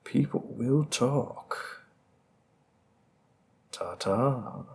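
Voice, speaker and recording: Male voice actor for a slow, husky, seductive mob boss, mid pitch, playful menace. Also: expressive, a little mellow, exaggerated voice. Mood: teasing. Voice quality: gravelly, husky. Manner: teasing, slow and seductive, dropping into whispers. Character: flirty smooth-talking male mafia boss. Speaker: audio roleplay performer. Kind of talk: audio roleplay acting.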